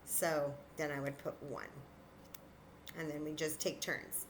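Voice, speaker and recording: A woman's voice: deep voice, level tone, a little slow, a little hiss or hum, low-quality recording. A woman teaching young children in an interactive demonstration, patient and encouraging, thinking aloud as she goes. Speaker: children's story time teacher.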